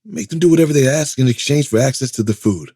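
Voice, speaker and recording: A man playing a measured, grave mentor giving cautionary advice in an audio drama. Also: medium pitch, dark tone, breathy edge, no echo, clean studio sound, lightly acted. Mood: grave, persuasive.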